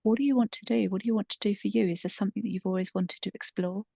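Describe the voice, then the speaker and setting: A woman's voice: mid-range voice, fairly even intonation, very fast, vocal fry, dark tone, slightly rough, no echo, highs cut off. A middle-aged woman co-hosting a podcast in casual, unscripted chat, explaining things conversationally. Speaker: podcast co-host and storytelling creator.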